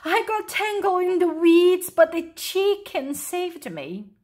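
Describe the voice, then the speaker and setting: A woman voicing an excitable, wonder-filled young female frog in a storybook. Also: high voice, normal speed, pitched above the performer's own voice. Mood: warm, earnest. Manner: exclaiming, full of wonder.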